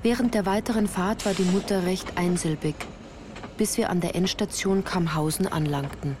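An actress playing an earnest woman recalling her girlhood in measured, literary radio-play narration. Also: fairly low pitch, noisy background, natural voice. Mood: earnest, reflective.

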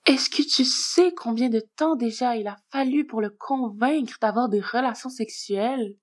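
A woman reading the part of a sly, coy, politely sardonic scheming man in a story, measured and dryly teasing. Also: fairly high pitch, voice pushed up. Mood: dryly sincere.

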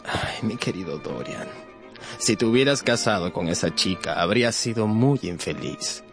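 An actor playing a worldly, cynical aristocratic gentleman in a radio drama, with a suave, patronizing manner. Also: on the low side, lively intonation, moderate pace, soft background music. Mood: cool, grave. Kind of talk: Spanish-language radio drama acting.

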